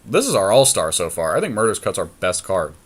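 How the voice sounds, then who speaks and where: A man with a fairly deep voice gives casual running commentary on a card game. He thinks aloud as he goes, speaking decisively and informally, like a co-host chatting with a partner. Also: very animated, fast talker. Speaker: podcast co-host playing a card game draft. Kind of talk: casual commentary while drafting cards.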